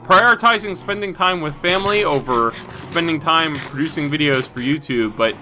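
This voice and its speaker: A man's voice: fairly high pitch, animated, moderate pace, bright, forceful tone, a little hiss or hum, rough recording. Stuffy-nosed man rambling over gameplay. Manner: rambling, thinks aloud with many fillers. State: stuffy from a cold. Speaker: Minecraft video host. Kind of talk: casual gameplay commentary.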